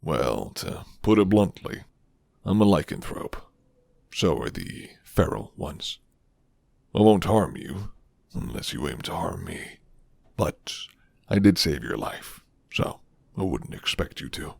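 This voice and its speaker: A man playing a flirty, gentle, rugged werewolf who lives alone in the forest, speaking slowly and hushed in a deep, gravelly ASMR roleplay voice. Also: sing-song, no room sound, exaggerated voice. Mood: grave, calm. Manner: slow, hushed, teasing.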